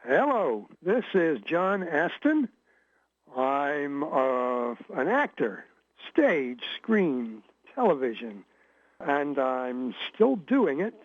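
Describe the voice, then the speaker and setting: A male actor and theater teacher voices a friendly, measured radio station promo. He speaks slowly, with a husky voice and an expressive, rising and falling pitch. The recording is dull and lo-fi. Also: no room sound.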